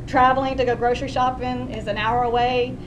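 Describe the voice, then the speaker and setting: A woman's voice: on the high side, little pitch movement, fairly quick. Older Texan woman, noisy home recording. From West Texas. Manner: homey, chatty, with small restarts. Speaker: student giving a class speech. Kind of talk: personal narrative speech.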